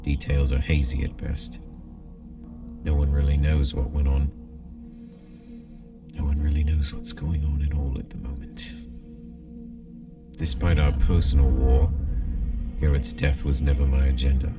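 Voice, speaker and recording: An actor playing a grave, remorseful leader dictating a personal log in clipped, deliberate phrases. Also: male voice, extremely low pitch, little pitch movement. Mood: grave, regretful. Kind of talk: audio drama monologue. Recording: distant voice under loud music.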